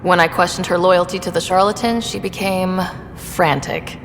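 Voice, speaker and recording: Woman as firm space heroine, slightly low voice. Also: fairly even intonation, moderate pace, echoey room, long reverb tail, a little hiss or hum, close to an everyday voice. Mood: matter-of-fact. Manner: direct, firm, matter-of-fact. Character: resolute young female space explorer, game heroine. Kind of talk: video game voice acting.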